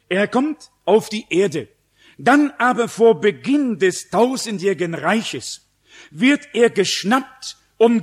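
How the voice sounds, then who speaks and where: A man preaching a sermon, reading out scripture and explaining it to his listeners in an emphatic, didactic way. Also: high voice. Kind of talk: sermon with read-out scripture and teaching.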